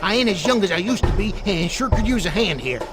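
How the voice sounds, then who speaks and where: An actor playing a gruff, folksy old gun store owner with a Southern American accent in a video game. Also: male voice, high voice, expressive, fast talker, over music. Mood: urgent. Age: older. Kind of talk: video game cutscene acting.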